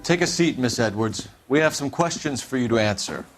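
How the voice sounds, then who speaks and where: An actor playing an earnest, persistent 1940s American police detective in a crime game, with formal, clipped questioning. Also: male voice, medium pitch, lively intonation, fairly quick, natural voice. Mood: businesslike. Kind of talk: video game acting, period crime drama. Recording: music behind the voice.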